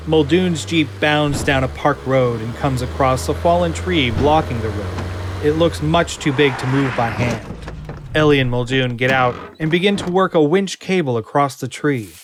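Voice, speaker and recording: A man's voice: mid-range voice, moderate pace, background noise. A man reading a screenplay as dramatic scripted narration. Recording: music behind the voice. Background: prominent music.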